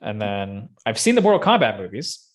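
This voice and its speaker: A man's voice: fairly low pitch, sing-song, normal speed. A man in a casual, rambling, opinionated chat about films and games, as a podcast host.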